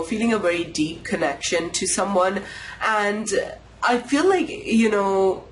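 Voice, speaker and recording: Tarot reader with a cold, stuffy nasal voice, bit raspy, mulls things over slowly, middling pitch. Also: slight background noise, low-quality recording. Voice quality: slightly raspy. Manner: unhurried, intuitive musing with pauses. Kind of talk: pick-a-card tarot reading to camera.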